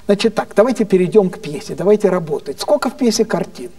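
Female government minister played by a male storyteller, high-pitched, earnest, coaxing, emphatic, slightly off-mic. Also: expressive, quick pace, dark tone, recorded from a distance. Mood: coaxing, bossy. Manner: pleading, emphatic, endearing address. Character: sentimental, earnest female Soviet government minister, motherly and bossy.

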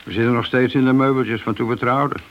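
A man's voice: mid-range voice, steady tone, fast, natural voice. An actor playing a cautious, by-the-book middle-aged policeman and family man in a radio play, sceptical and reserved. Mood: wistful, confiding. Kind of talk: radio play dialogue acting. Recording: dull old radio recording with hiss.

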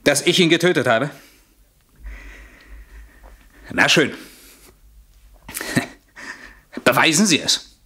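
An actor playing a nervous, evasive gentleman under suspicion in a German radio crime drama, defensive and hesitant as he protests his innocence. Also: fairly high pitch, takes it slowly, slightly rough, full character voice. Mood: flustered, defiant.

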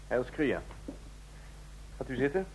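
An actor in a radio play playing a stern, condescending ambassador of a fascist state, speaking in formal, measured officialese. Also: male voice, medium pitch, big pitch swings, rough recording. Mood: formal, cool. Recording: clear hum and noise, old radio recording.

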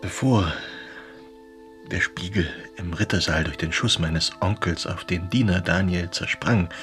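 An actor playing a melancholy, haunted writer confiding in a friend by letter in a German radio drama, intimate and brooding. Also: male voice, low-pitched, fairly bright tone.

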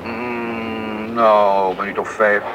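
A man's voice: on the low side, flat delivery, slow pace, dull audio, background noise. A man playing an easygoing, helpful father in a naturalistic radio drama, a little hesitant and chatty. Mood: relaxed. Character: easygoing, helpful father travelling with wife and son.

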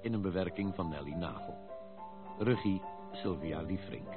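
A man with a deep voice reads scripted radio play titles. Plucked guitar plays loudly behind him, and the recording is somewhat dull. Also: normal speed. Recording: muffled recording under loud guitar music. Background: loud plucked guitar music.

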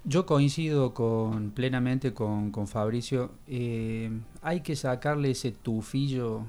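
A man's voice: mid-range voice, takes it slowly, warm tone. A man with an Argentine accent, a political candidate being interviewed on radio, speaking earnestly and repeating words as he searches for them.

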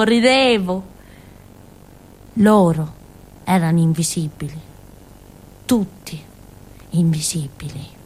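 An actress playing a naive, stubborn village fool in a theatrical radio monologue, with a childlike, rambling, emphatic way of talking. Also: female voice, mid-range voice, close to an everyday voice. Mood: weary, resigned.

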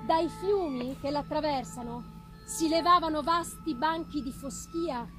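High-voiced woman reading gothic fiction theatrically. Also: unhurried, over music. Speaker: literary reader. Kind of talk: dramatic audiobook-style narration of a gothic novel. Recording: noisy live performance recording. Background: background noise and ambience of live performance.

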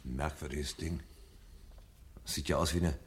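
A man's voice: a bass voice, steady tone, measured pace, slight background noise. An actor playing a troubled, earnest fireman with a blunt manner in a 1970s German radio play. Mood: curious, subdued. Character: troubled, forceful male fireman seeking guidance.

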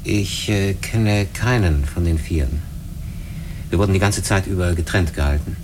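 A low-voiced, earnest crewman played by a male actor in a radio drama, with noticeable background noise. He speaks deliberately and hesitantly, as if sharing a confidence. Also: close to an everyday voice. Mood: hesitant, confiding. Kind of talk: German radio drama acting. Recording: clear background ambient noise.

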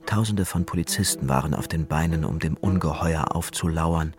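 A man narrating a scripted radio drama in a measured, suspenseful storytelling manner. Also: rumbling low, a little mellow, soft background music.

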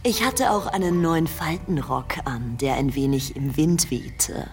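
A woman's voice: deep voice, a little slow. An actress playing a worldly young society woman who recounts her memories in a radio play with cool composure. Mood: reminiscing, composed. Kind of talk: radio play storytelling in German. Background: music.

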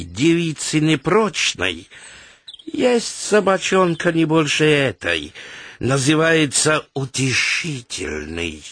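An actor playing an earnest, timid suitor in a theatrical comic radio play. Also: male voice, medium pitch, a bit bright, husky, no echo, clean studio sound, exaggerated voice.

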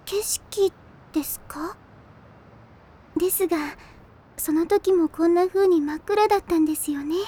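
A very high-pitched, soft-spoken teenage girl from an anime audio drama. She is devoted and fragile, choosing her polite words with hesitation. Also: a little slow, pauses often. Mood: hesitant, wistful.

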